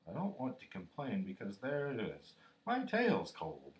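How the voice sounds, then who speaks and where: A man voicing a gloomy, self-pitying donkey who grumbles slowly and mournfully in a storybook reading. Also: medium pitch, wildly swooping pitch, reverberant. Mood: mournful resignation.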